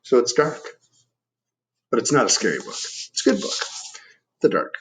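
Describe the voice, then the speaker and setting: A man's voice: medium pitch, huge pitch swings, normal speed, slight background noise. A man reading a picture book aloud to children, explaining things and putting questions to the listeners in chatty asides. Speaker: storybook reader.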